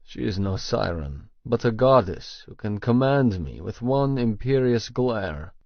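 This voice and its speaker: Gravelly, slow male audiobook narrator. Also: on the low side, animated, dry recording, lo-fi sound. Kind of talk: first-person romance audiobook narration.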